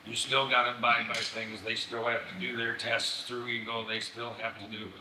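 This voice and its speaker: A man's voice: mid-range voice, level tone, normal speed, bright, forceful tone. A man, a township official, explaining permit rules firmly at a public meeting. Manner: firm, explanatory. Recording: echoey hall, faint noise.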